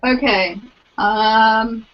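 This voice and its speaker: A woman's voice: fairly high pitch, fairly even intonation, very slow. A woman talking casually about books as a panelist on an online call. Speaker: panelist and awards judge. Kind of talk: casual book chat. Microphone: video call. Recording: muffled, lo-fi online call audio.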